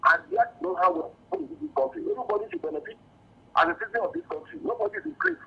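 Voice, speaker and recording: Male Nigerian caller, very high animated voice, emphatic and aggrieved, arguing politics over a dull phone line. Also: rapid-fire, creaky. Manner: emphatic, aggrieved, rhetorical questioning. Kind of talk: impassioned political opinion on a radio phone-in. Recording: dull lo-fi phone call to radio.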